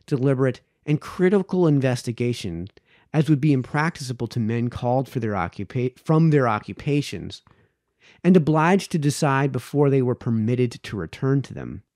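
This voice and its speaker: Male reader, 30s. Deliberate book narration with wide pitch movement and a warm, relaxed tone. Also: no room sound, studio quality. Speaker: reader, host of a read-aloud series. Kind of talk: reading aloud from a book of formal essays. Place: small home office, indoors. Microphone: close boom-arm podcast microphone, headphones.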